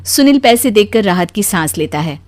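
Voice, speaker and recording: A woman narrating a scripted animated story as its storyteller. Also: medium pitch, moderate pace.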